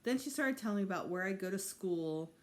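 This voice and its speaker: A woman reading a children's novel aloud as a teacher would to students, in expressive narration. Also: medium pitch, brisk.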